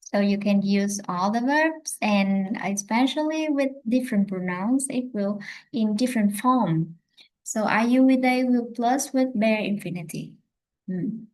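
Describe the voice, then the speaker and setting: Woman, live-stream English teacher, slow and halting delivery. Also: medium pitch, rough recording. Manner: slow, hesitant, many fillers and self-corrections. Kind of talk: live-streamed language lesson.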